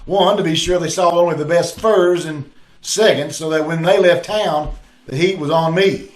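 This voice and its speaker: A man's voice: fairly high pitch, moderate pace, lightly acted. A man playing a glib, wisecracking amateur sleuth in the style of 1940s radio detective drama, over a video call. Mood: defensive, explaining. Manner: glib, bantering. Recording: roomy, echoing video-call audio.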